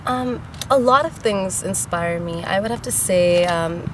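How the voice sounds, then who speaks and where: A woman's voice: medium pitch, unhurried, background noise. A young woman from Dubai, a singer-songwriter, answering interview questions in a park in an earnest, chatty way, with frequent laughs and fillers. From Dubai, UAE. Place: outdoor city park.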